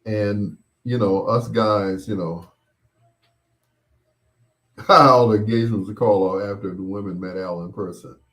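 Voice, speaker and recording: A middle-aged man hosting a casual show, chatting and reminiscing in a rambling way, often pausing and repeating himself as he replies to listeners. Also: fairly low pitch, a bit bright. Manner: unhurried, rambling, with pauses and repeats. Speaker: host of a bedtime stories show. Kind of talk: casual chat, reminiscing and replying to listener comments.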